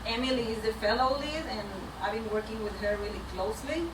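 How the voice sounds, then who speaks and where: A woman with a Venezuelan Spanish accent speaks in a fairly high voice, recorded at a distance in a noisy, reverberant room. She gives an informal personal talk as a community speaker, candid and slightly nervous in her halting delivery. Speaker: community track lead speaker.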